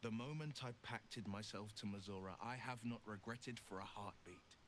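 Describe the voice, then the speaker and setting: A man's voice: fairly low pitch, normal speed, a bit bright, dry recording, close to an everyday voice. A man playing a noble, burdened young monster hunter in a video game, solemn and declamatory. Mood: solemn, proud.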